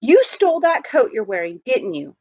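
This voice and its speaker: A woman's voice: on the high side, very animated, moderate pace, darkish tone, no echo, highs cut off. A woman reading a children's storybook, voicing a polite, earnest and wary young boy. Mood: wary, firm. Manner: polite, plain-spoken, earnest. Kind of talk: children's storybook read aloud.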